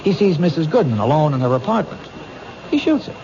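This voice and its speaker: An actor playing an earnest junior detective in a 1970s radio drama, questioning and reasoning aloud with emphasis. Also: male voice, a little high, big pitch swings, brisk. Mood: insistent reasoning. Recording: muffled old radio broadcast, faint noise.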